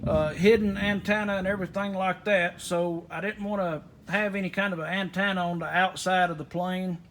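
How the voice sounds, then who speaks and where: Man, high-pitched voice, folksy vlogger, casual walkthrough, faint background noise. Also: fairly even intonation, normal speed, a bit bright. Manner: folksy, rambling. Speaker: aviation vlogger. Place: inside a small plane at an airport.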